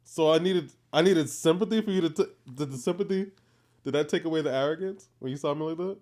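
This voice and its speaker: A man in his thirties with a Boston accent, co-hosting a podcast and telling stories in a playful, animated way. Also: on the high side, fast. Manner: playful, animated storytelling, teasing. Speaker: podcast co-host. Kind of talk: casual chat.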